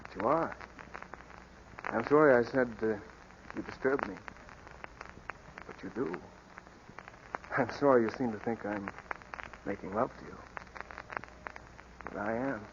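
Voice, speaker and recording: An actor playing a well-bred young boss and suitor, polite, hesitant and earnest, in 1940s radio style. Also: male voice, mid-range voice, unhurried, pauses often, natural voice. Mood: contrite, tender. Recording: muffled, hissy 1941 radio recording.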